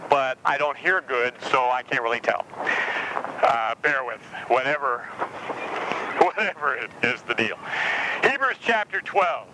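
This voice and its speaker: A man's voice: mid-range voice, expressive, slow pace, long pauses. A man preaching an informal, earnest sermon, a little scratchy-voiced from jet lag. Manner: earnest, informal, addresses listeners as brother. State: jet-lagged, slightly scratchy voice. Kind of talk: conversational sermon.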